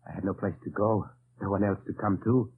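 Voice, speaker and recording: An actor playing a guarded undercover spy in an old-time radio drama, restrained and terse. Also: male voice, low-pitched, fairly quick, creaky, breathy edge, full character voice. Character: guarded undercover American spy posing as German lieutenant. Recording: noisy, tinny old radio recording.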